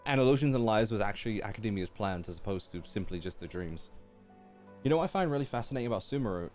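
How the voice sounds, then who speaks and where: Low-voiced man, casual game streamer. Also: a little mellow. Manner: chatty, musing aloud. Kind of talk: casual live reaction commentary. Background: faint game music.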